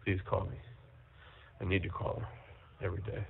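A man in an intimate, casual phone call, talking haltingly and repeating his phrases, on a tinny jail phone line. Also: sits low, monotone, creaky, a little hiss or hum. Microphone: telephone handset.

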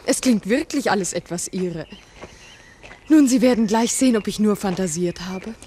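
An actress playing an earnest, modest village schoolmistress in a 1960s German radio play, polite and self-effacing. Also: female voice, mid-range voice, animated, moderate pace, mellow, lightly acted. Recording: noisy 1962 mono radio recording.

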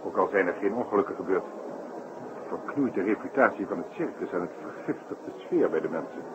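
A man's voice: deep voice, lively intonation, brisk, background noise. A man playing a worried, indignant circus director in a radio drama, speaking formally and emphatically. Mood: bitter, worried. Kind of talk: old Dutch radio drama acting. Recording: dull radio recording with music behind.

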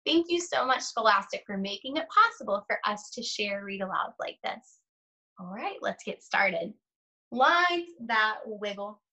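A woman's voice: mid-range voice, animated, a little slow, pushed and bright, poor audio. A young adult woman, an art teacher, leading a read-aloud lesson for children with simple questions and prompts.

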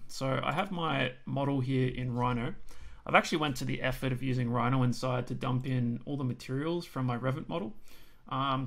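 A man with an Australian accent hosting a software tutorial, explaining steps in a relaxed, chatty way with frequent ums while losing his voice. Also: medium pitch, vocal fry. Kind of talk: screen-recorded software tutorial.

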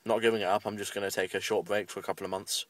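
A British man with a fairly low voice talks casually in a parked car. His delivery is very flat and rambles along in a matter-of-fact way. The microphone sits a little away from him. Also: racing, no room sound, distant mic. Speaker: amateur radio hobbyist making a video update. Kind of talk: casual vlog update.

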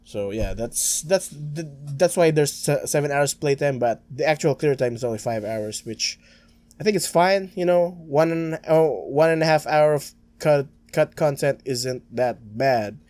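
A man's voice: a little high, lively intonation, unhurried, a little mellow, no echo. A young man with a Filipino accent, chatting casually as a gaming streamer, rambling with many restarts and stammers. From Philippines. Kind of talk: casual let's-play commentary. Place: small room at home, green screen. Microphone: headset.

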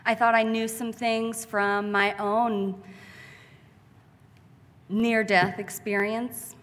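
A woman in her thirties speaks at a podium in a large church sanctuary, delivering a commencement speech in a slow, deliberate and heartfelt way. Her voice is bright and forward. The podium mic carries a long, lingering hall reverb. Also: a little high, little pitch movement. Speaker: graduating student speaker. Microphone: podium mic, far camera.

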